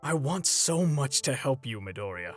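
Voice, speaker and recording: A man playing an older superhero mentor in a comic dub, paternal and gently stern, with a theatrical, measured delivery. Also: mid-range voice, sing-song, soft background music. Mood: earnest, sorrowful. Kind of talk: dramatic comic dub voice acting.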